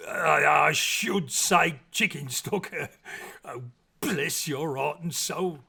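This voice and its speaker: Man doing a slow, flustered, kindly old fellow. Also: fairly high pitch, gravelly, pushed and bright, slightly rough, exaggerated voice. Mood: flustered, delighted. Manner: stammering, effusive, grateful.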